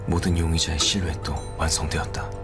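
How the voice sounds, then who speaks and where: A man acting a calm criminal profiler in an audio drama, speaking in a measured, grave, deliberate way. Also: rumbling low. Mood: brooding, analytical. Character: calm, brooding male criminal profiler working a murder case. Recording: music bed under the voice. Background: background music.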